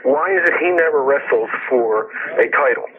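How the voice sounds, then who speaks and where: Halting male caller on tinny phone line. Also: medium pitch, animated, background noise. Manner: halting, hesitant. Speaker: first-time radio caller. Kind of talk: polite call-in questions.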